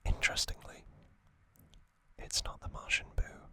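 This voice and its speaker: A man whispering a calm trivia recap for an ASMR video close to the microphone, with soft ums and casual asides. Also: unhurried. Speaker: ASMR channel host.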